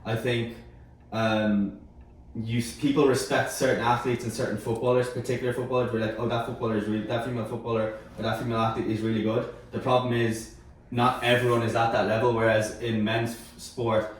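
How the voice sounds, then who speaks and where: A man's voice: mid-range voice, level tone, a little fast. A man talking casually in a panel discussion, giving his opinions emphatically and point by point. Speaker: sports media team member in panel discussion. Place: echoey room. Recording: very roomy, distant mic, faint noise.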